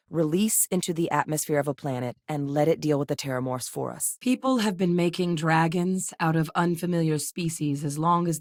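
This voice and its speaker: A woman playing a seasoned ex-military scientist in a video game, steady and earnest, with a measured, serious delivery. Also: sits low, no room sound, clean studio sound. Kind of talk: video game character voice lines.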